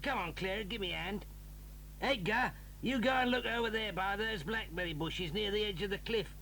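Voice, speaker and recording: A man's voice: sits high, moderate pace, sharp tone, recorded from a distance, slight background noise, poor audio. A man playing a blustering, threatening father who is a villain in a 1980s audio drama, recorded on old tape a little back from the mic. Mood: grumbling. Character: gruff menacing father, Mr Stick, armed.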